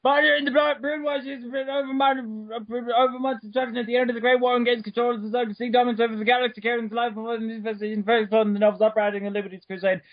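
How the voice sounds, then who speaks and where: A man giving an over-the-top dramatic reading, loud and fast, tripping over his words as he goes. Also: very high voice, little pitch movement, dry recording, lo-fi sound. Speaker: reader of a comic dramatic reading.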